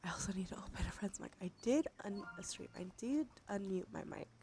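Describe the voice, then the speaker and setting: A woman hosting a casual ASMR livestream, chatting softly and narrating what she does, with a breathy voice that often slips into a whisper. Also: medium pitch, expressive, brisk. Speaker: livestream host. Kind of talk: casual ASMR stream chat. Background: background music.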